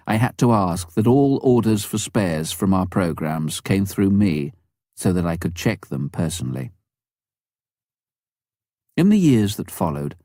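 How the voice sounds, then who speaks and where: Low-pitched man narrating, dry sound. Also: a little mellow, no echo. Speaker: audiobook narrator. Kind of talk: scripted narration, reading a memoir.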